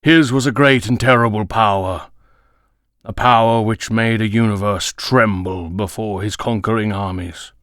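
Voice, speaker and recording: A man voicing a solemn old alien storyteller in a comic dub, grave and measured, telling legends with dramatic pauses. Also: lowish voice, steady tone, fairly bright tone, no echo, studio quality, lightly acted. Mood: gentle, solemn.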